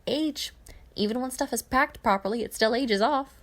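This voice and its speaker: A casual, chatty young male engineer in a space storybook, put on by a woman. He rambles informally in a raised pitch with a creaky edge. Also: moderate pace, close to an everyday voice. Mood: casual, curious.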